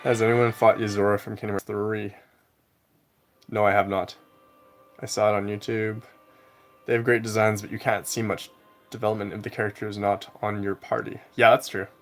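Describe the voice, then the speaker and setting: A young man with a somewhat low voice chats casually on a game stream, answering viewers in a relaxed, rambling flow. Faint game music plays quietly behind him. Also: normal speed, pauses often. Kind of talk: casual live-stream chat while gaming.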